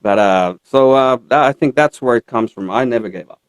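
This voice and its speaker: A man from Finland with a Finnish accent, chatting casually as an interview guest in a halting way. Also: mid-range voice, clean tone, dry recording. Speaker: guest country singer-songwriter. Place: radio studio.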